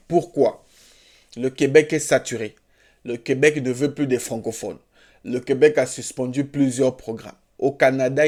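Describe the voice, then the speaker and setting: A man's voice: mid-range voice, darkish tone. A man hosting his own video channel, talking straight to camera in an upbeat, emphatic way and repeating phrases for emphasis. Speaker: YouTube channel host giving immigration advice. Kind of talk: enthusiastic direct-to-camera pep talk.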